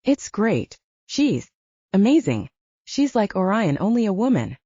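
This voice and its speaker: A woman's voice: mid-range voice, big pitch swings, a little slow, dark tone, breathy edge, dry recording, exaggerated voice. A woman voicing a big, insecure teenage younger brother in an audiobook, earnest, blurting and awkward. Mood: awkward, pleading. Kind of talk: audiobook character dialogue. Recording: dull, slightly muffled audio.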